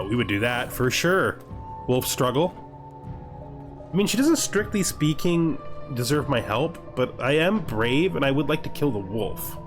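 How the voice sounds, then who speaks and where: A man giving casual gameplay commentary, reading game text aloud and reacting with dry, offhand humour. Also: medium pitch. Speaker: gaming video host.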